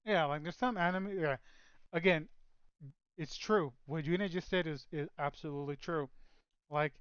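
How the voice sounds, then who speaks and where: A man on the higher side of the male range rambles through a casual chat, leaning on "like" and restarting sentences. His voice comes through smooth and clear, with a dry, slightly muffled mic sound and no room behind it. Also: normal speed, a bit bright, no room sound. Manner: rambling, many fillers and restarts. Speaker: podcast co-host.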